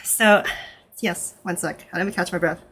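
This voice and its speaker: A Canadian woman streaming in a nervous, casual way, with lots of fillers, switching between English and halting Japanese. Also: fairly low pitch, fairly even intonation, a bit bright, a little hiss or hum. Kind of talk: casual live stream chat.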